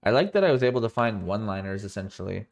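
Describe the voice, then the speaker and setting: A man's voice: lowish voice, big pitch swings, very fast, creaky, darkish tone. A man with a cold walking through his code on a live stream, tired and thinking aloud, often correcting himself. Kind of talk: live coding walkthrough.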